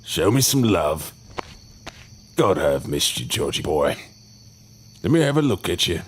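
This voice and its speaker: Deep, slow, theatrical actor as a effusive man. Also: big pitch swings, husky, exaggerated voice. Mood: exuberant welcome. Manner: flamboyant, wordy, old-fashioned turns of phrase. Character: effusive, theatrical long-lost brother welcoming siblings to a mansion. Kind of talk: audio drama acting.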